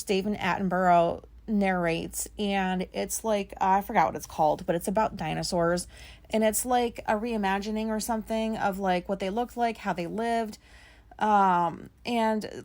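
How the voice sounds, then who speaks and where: A woman in her thirties with a raspy voice, talking casually and rambling on her solo podcast, with many fillers and some swearing. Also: medium pitch, darkish tone. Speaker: podcast host.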